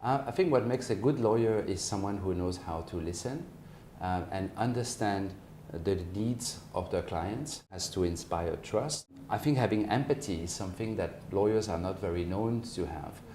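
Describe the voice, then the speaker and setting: A man in his forties with a French accent answers interview questions in a low, mellow voice. He phrases things carefully and hesitantly, and the room adds a noticeable echo. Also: normal speed. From France. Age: 40s. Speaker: company managing partner, interview guest. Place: office, indoors. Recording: roomy echo, faint background noise.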